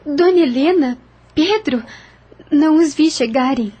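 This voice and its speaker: A woman's voice: high voice, animated, a little slow, warm tone. An actress playing an anxious, sorrowful young woman in a period audio novel, with an emotive, sighing delivery. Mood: melancholy.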